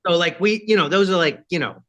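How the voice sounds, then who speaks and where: A rock singer with a high voice, chatting casually in an interview and rambling with many fillers and asides. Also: male voice, quick pace, fairly bright tone.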